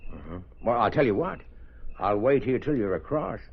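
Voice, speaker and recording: A courteous, protective gentleman in an old radio play. His voice is medium in pitch, heard on a muffled vintage recording. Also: very animated, normal speed, gravelly, breathy edge, exaggerated voice. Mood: concerned. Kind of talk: vintage radio drama acting.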